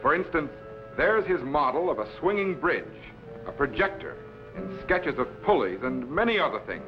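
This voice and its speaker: An actor playing a commanding, scholarly time-machine captain in a crisp, authoritative 1950s science fiction serial style. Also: male voice, on the high side, big pitch swings, normal speed. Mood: instructive. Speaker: captain and mentor. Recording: dull vintage broadcast with loud music.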